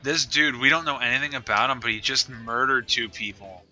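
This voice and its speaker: A man's voice: mid-range voice, a little fast, pushed and bright, rough recording. A man co-hosting a podcast in casual, sweary banter, often incredulous and prone to laughing. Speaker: podcast co-host. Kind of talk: casual comic-review banter. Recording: music playing behind the voice.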